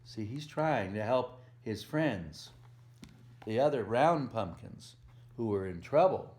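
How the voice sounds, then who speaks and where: A man reading a picture book aloud to young children as a volunteer storyteller, gently explaining things and asking the listener questions in simple words. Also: on the low side, lively intonation, slow pace.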